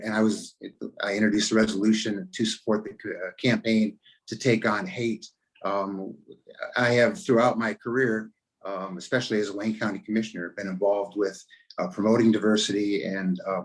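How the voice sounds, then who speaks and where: A mature man, a political candidate, giving a halting answer at a forum. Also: lowish voice, poor audio. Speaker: mayoral candidate, county commission chairman.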